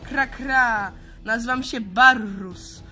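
A woman's voice: on the high side, slow, sharp tone, soft background music. A woman voicing a menacing male crow-man monster who caws between phrases in a children's audio play. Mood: menacing, boastful. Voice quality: squawking, cawing. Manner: punctuates speech with crow caws.

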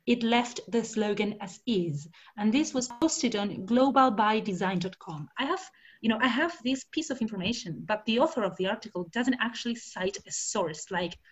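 A woman's voice: medium pitch, lo-fi sound. Hesitant woman. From Argentina. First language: Spanish. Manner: hesitant, many fillers and restarts. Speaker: translator discussing research. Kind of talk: casual conversation.

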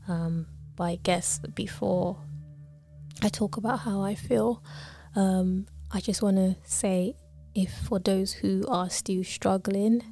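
Woman from Nigeria, solo podcast host, slow and halting, mellow low voice, drifts into whispers. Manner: hesitant, many pauses and fillers.

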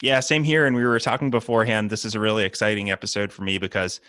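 A man's voice: on the low side, steady tone, quick pace, sharp tone, clean tone, no room sound, studio quality. A man hosting an education podcast, a former Spanish teacher, talking in a friendly, hesitant way with frequent restarts. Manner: friendly, hesitant, repeats words when starting sentences. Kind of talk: conversational podcast interview.

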